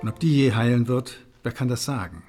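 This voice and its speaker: An actor playing an earnest, solemn television talk show host in a radio drama, husky-voiced, with a grave, measured, rhetorical way of presenting. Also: male voice, medium pitch, music in the background, lightly acted.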